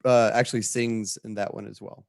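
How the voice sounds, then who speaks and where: A man's voice: on the low side, little pitch movement, a little fast, a little mellow, no room sound, studio quality. A man chatting casually on a panel, reflective and conversational as he tells his stories. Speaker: show creator, panelist.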